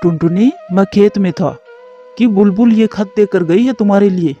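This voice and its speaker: A man's voice: high-pitched, normal speed, dark tone. A man voicing a lazy, scheming crow husband in a children's cartoon. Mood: casual, deceitful. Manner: scheming, work-shy. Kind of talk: Hindi children's cartoon voice acting. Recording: dull lo-fi audio with music behind.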